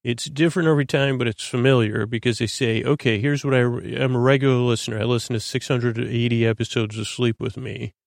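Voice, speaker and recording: Creaky-voiced, meandering man. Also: mid-range voice, fairly even intonation, dry recording, studio quality. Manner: meandering, halting, stuttering. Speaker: podcast host. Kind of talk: rambling bedtime podcast intro.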